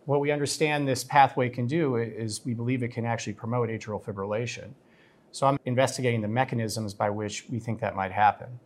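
A man speaking as a guest physician and researcher in a podcast interview, explaining in a measured, technical way. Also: mid-range voice, steady tone.

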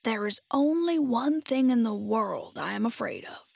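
A woman reader puts on a storybook scarecrow, a simple, earnest straw man. The voice is husky and creaky, the words drawn out slowly and politely. It is recorded very dry and close. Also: on the high side, expressive, a little mellow, dry recording, full character voice. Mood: friendly, confiding. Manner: slow, drawn-out, polite delivery.

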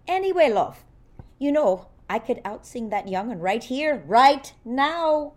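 A woman's voice: sits high, lively intonation, a little slow, a little mellow. A woman playing a vain, imperious older Irish singing star in a comedic audio drama, haughty and scornful. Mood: boastful.

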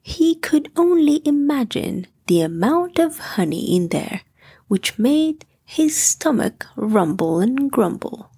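A woman narrating a scripted bedtime story for children with a soft, gentle delivery. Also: sits high, very animated, slow, slightly rough, no echo, clean studio sound.

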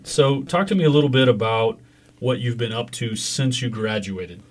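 A man with a mid-range voice hosts an interview in a conversational style. He affirms his guest and pauses to explain details for those listening. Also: medium pitch. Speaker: interview host.

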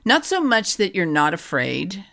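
A woman's voice: fairly low pitch, big pitch swings, unhurried, gravelly, pushed and bright. A woman hosting an interview, enthusiastic and asking open questions about her guest's background and ideas. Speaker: interview host, co-founder. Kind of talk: interview conversation.

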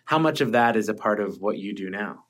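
Male podcast host, lowish voice, rambling questions with lots of restarts. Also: expressive, fairly quick, fairly bright tone. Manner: rambling, restarts sentences often. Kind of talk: casual workplace podcast conversation.

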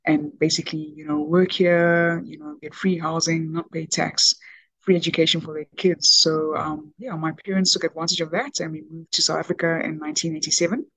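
Ghana-born lady guest, pretty flat delivery, lots of ums, dry close sound. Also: low-pitched, brisk, dry recording, poor audio. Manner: hesitant, many ums and restarts. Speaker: podcast guest, business executive. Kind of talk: conversational interview.

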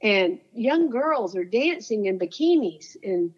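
A woman's voice: mid-range voice, sing-song, slow pace, breathy edge, muffled recording. Earnest woman with a soothing voice. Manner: earnest, heartfelt storyteller. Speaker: interview guest. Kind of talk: casual interview storytelling.

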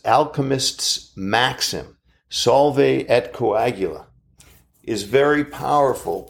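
Man in his fifties, gravelly, unhurried; earnest reflective speaker with pauses. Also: mid-range voice. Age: 50s. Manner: slow, measured and earnest, with pauses. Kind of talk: reflective motivational monologue.

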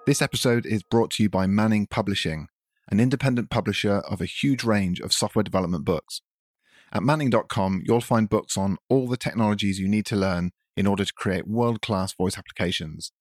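A man's voice: fairly low pitch, a little fast, dry recording, studio quality. A man hosting a podcast, introducing the show and interviewing guests in a conversational way. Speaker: podcast host.

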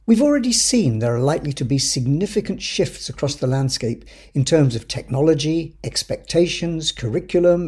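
A man hosting a podcast, reading a scripted introduction in a measured, lightly wry way. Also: a little high, mellow, slightly rough. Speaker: podcast host.

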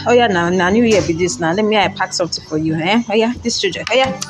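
A man's voice: high voice, quick pace, lightly acted. A man plays a jovial Nigerian businessman for an audio drama. He is boastful and generous, teasing as he goes and repeating phrases for emphasis. Music runs behind his voice. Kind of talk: audio drama acting in Pidgin.

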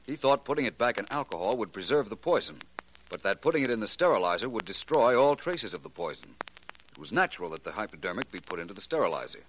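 1940s radio private eye: American, low, lively inflection, shrewd, courteous, unruffled. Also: male voice, quick pace. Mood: assured, deductive. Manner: polished, courteous, unruffled deduction. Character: shrewd, self-assured gentleman private detective. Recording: noisy vintage radio recording with clicks.

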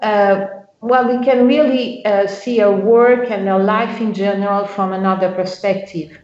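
A woman's voice: medium pitch, fairly even intonation, darkish tone. A woman answers interview questions in a hesitant way, often stopping to find the right word. Her voice sits in a mid range. The recording sounds roomy and a little dull and lo-fi. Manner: hesitant, many pauses to find words. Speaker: bank culture director.

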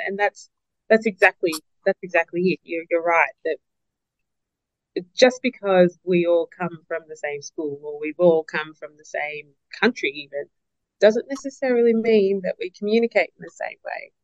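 A woman's voice: fairly low pitch, moderate pace, no room sound. A woman speaks as a guest on a podcast, reflective and earnest. She restarts phrases with repeated words and puts questions to herself as she thinks aloud. The sound is dry and a little muffled. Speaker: business partner and podcast guest. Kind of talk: interview answers.

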